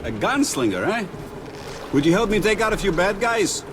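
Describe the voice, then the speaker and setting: Restless, animated game gunman. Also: high-pitched, normal speed, noisy background. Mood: wry. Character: restless male gunman, resentful and eager to fight. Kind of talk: video game voice acting.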